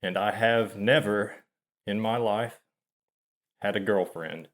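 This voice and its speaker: A man in his thirties talking to camera in a confessional monologue, halting and hesitant, often trailing off and restarting, soft-spoken and self-deprecating. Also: on the low side. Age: 30s. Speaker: channel host.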